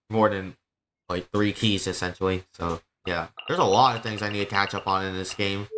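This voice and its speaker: A man livestreaming a video game with casual, halting, rambling commentary. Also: sits low, pushed and bright, slight background noise. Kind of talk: casual gameplay stream commentary.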